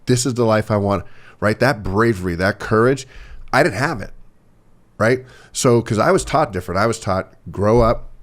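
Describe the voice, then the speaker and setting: A man's voice: on the low side, moderate pace. A man in his forties speaking candidly and earnestly as a podcast guest, often repeating himself and checking in with "right?". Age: 40s. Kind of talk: candid conversational interview. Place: podcast studio.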